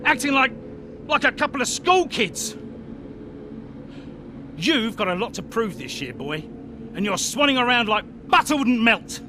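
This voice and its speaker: A man's voice: very high-pitched, sing-song, exaggerated voice. A man playing a middle-aged racing team boss in a game cutscene, furious and scolding with emphatic delivery. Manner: scolding, slow emphatic delivery rising to shouts. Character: furious male racing team boss berating his drivers. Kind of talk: dramatic cutscene acting. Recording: clear background noise.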